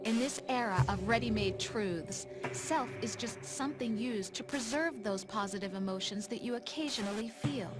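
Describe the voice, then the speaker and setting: A woman playing a cool, taunting artificial intelligence in a video game cutscene, speaking in a deliberate, detached way. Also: fairly high pitch, lightly acted. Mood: cool detachment. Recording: music behind the voice. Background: music.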